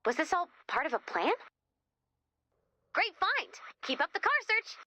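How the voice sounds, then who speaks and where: A woman playing an eager, curious young researcher who guides players in a video game, chatty and expressive as she thinks aloud. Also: very high voice, measured pace, dry recording, full character voice. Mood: encouraging. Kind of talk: scripted video game dialogue.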